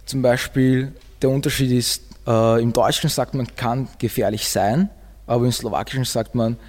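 A young man explaining things haltingly as a student guest on a school radio programme. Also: mid-range voice, steady tone, darkish tone. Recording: long echoing hall reverb.

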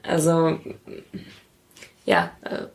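A woman's voice: deep voice, very animated, drawn-out words. A woman talking thoughtfully and candidly in a conversational interview. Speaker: interviewee, parent in a polyamorous family.

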